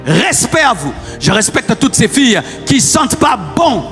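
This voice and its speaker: A man's voice: very high voice, sing-song, unhurried, fairly bright tone. A man with a Congolese French accent preaching a fiery sermon, exhorting his listeners with insistent repetition and rhetorical questions. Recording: long hall reverb, music underneath.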